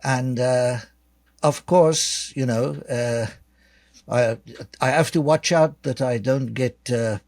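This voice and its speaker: An older man from South Africa with a South African accent, a guest author answering interview questions in a measured, dryly sarcastic way with many fillers. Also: medium pitch, darkish tone, no room sound.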